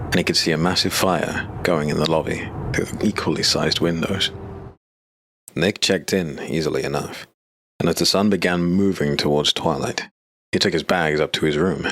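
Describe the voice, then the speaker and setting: A man with an exceptionally deep voice narrates a dark fantasy audiobook in a measured, ominous manner. His delivery is scripted and steady. A clear hiss runs under the recording.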